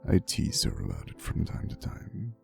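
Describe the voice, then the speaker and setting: A man playing a centuries-old romantic vampire boyfriend in an intimate ASMR roleplay monologue, speaking softly and hesitantly, teasing and wistful with faint menace. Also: rumbling low, wildly swooping pitch, gravelly, heavily acted. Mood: fond, teasing. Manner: soft, slow, hesitant storytelling with teasing asides. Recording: soft music behind the voice. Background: quiet instrumental music.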